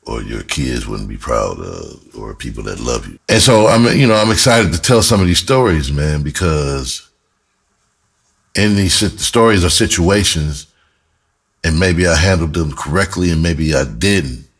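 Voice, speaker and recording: A man's voice: very deep voice, measured pace, gravelly, breathy edge. A middle-aged man telling personal stories casually as a host, candid and reflective, with streetwise slang. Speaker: podcast host and music artist.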